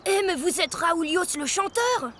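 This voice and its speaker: A woman's voice: very high-pitched, expressive, unhurried, fairly bright tone, a little hiss or hum, heavily acted. A woman voicing an earnest, bookish little monster boy in a cartoon, with precise delivery. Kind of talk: French cartoon acting.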